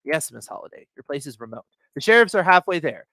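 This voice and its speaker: Calm procedural 911 dispatcher, done by a man, measured, reassuring, acted call, dry close sound. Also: on the high side, creaky, dry recording, heavily acted. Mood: businesslike. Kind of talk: dramatic reading of an emergency call.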